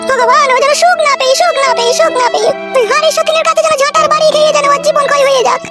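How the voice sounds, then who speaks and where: A man voicing a scheming, foul-mouthed village mother in an amateur comedy cartoon, with a rural West Bengali accent, a dramatic scolding manner and a pitched-up voice. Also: fairly even intonation, rapid-fire, over music. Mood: bitter lament.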